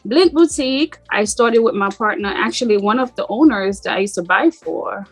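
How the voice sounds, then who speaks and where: A middle-aged woman with a slight Jamaican accent, talking about herself in a relaxed, rambling way as an interview guest. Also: mid-range voice, normal speed, soft background music. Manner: relaxed, rambling, frequent "like" fillers. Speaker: boutique owner guest. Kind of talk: casual interview chat.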